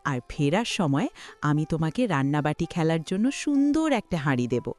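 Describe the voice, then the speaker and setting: Woman playing a low-voiced, kindly village auntie. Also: big pitch swings, normal speed, darkish tone, faint music behind. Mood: coaxing. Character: kindly village woman coaxing a small child.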